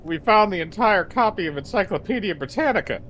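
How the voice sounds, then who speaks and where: A man's voice: high-pitched, expressive, a little fast, a bit bright, a little hiss or hum. Laid-back man co-hosting a stream. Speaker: co-host. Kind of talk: casual gaming stream chat.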